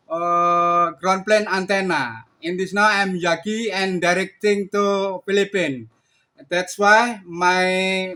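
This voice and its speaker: Indonesian male ham operator, bright high voice, halting and polite, repeats himself. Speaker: amateur radio operator. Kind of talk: amateur radio contact, casual exchange.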